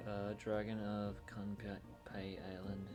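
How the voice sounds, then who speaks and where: A man livestreaming casually, thinking aloud in a halting way that moves between muttering to himself and explaining. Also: fairly low pitch, monotone, over music.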